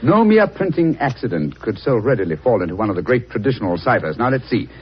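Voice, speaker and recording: An actor playing an eager, self-assured English gentleman detective, precise and theatrical, in a 1940s radio drama. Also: mid-range voice, sing-song, fast, close to an everyday voice. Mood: keen, analytical. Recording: muffled, hissy vintage radio recording.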